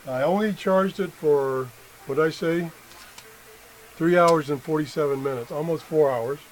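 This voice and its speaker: A middle-aged man giving an unscripted hands-on demonstration as an amateur tester, halting and correcting himself as he thinks aloud. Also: on the high side. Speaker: amateur product tester and video host. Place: indoor room at a workbench. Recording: noisy room with background sound.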